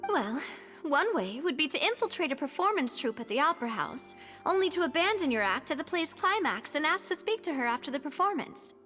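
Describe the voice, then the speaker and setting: A woman playing a young female gang boss in a game, teasing and sly, pausing before odd suggestions. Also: high voice, big pitch swings, pushed and bright, faint music behind, heavily acted. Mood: playful.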